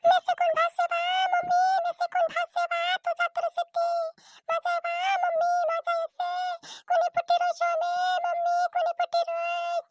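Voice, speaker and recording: An extremely high, shrill small child, in a cartoon comedy. The child whines and wails to mother, repeating the same few words. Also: monotone, moderate pace, no room sound. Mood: whining.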